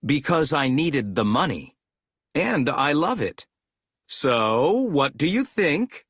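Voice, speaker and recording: Brief: woman voicing a calm hairstylist, very low and breathy, slow and even. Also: no echo, studio quality, heavily acted. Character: calm adult hairstylist chatting with a client. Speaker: hairstylist in a recorded textbook dialogue. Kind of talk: scripted textbook listening dialogue.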